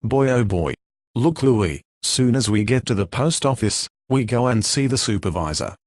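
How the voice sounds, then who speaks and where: A man's voice: mid-range voice, animated, normal speed, a little mellow, no room sound, lightly acted. Folksy working-class mailman played by a man, mid-range voice, chummy and eager, dry radio drama recording. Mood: scheming, eager. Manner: folksy, slangy. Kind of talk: radio play acting.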